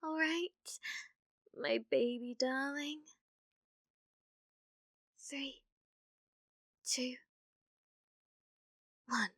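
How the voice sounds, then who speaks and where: Woman acting a high-voiced British obsessive witch. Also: extremely slow, long pauses, a bit bright, dry recording, studio quality, exaggerated voice. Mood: doting, excited. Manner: breathy cooing, often dropping into whispers. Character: obsessive, clumsy, lovestruck young witch, doting yet menacing. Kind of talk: ASMR roleplay.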